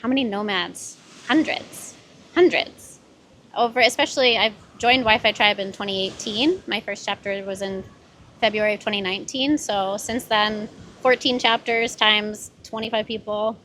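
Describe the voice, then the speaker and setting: A woman's voice: medium pitch, normal speed, a bit bright, a little hiss or hum, poor audio. An American woman from Boston chatting casually as a guest on a panel. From Boston, United States. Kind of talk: casual chat.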